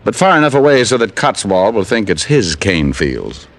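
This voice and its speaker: A wisecracking private eye. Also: male voice, lowish voice, moderate pace. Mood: wry. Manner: dry, wisecracking, lively. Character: wisecracking male private detective narrator. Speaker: detective and narrator. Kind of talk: 1950s radio drama acting. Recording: old radio broadcast with faint music.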